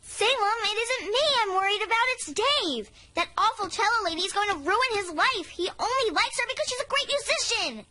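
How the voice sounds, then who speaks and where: Melodramatic cartoon schoolgirl: very high, wildly animated pitch, sulky and jealous. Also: moderate pace, hardly any pauses, full character voice. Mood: scheming. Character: dramatic, lovestruck, jealous preteen girl.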